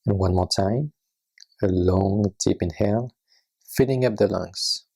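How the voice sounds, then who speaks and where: A meditation guide narrating a guided practice in a gentle, soothing and unhurried manner, with a husky, breathy, creaky voice. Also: low voice.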